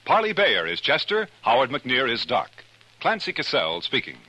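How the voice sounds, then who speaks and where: A man's voice: medium pitch, huge pitch swings, moderate pace, sharp tone, rough recording. An American man reading scripted announcements in a 1950s radio style. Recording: vintage radio broadcast. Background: music.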